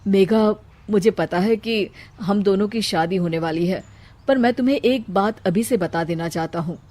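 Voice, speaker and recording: A woman's voice: mid-range voice, moderate pace, darkish tone, lightly acted. An earnest young man of the household, voiced by a woman in a moral story. He sounds caring and respectful, in a middling register for a female voice.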